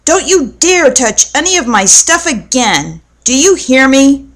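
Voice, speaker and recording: A woman reads the part of an angry young boy from a storybook. He is bossy, indignant and demanding, his voice pushed up higher than plain narration. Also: big pitch swings, a little slow, fairly bright tone, exaggerated voice. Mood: angry shouting. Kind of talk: storybook character voice.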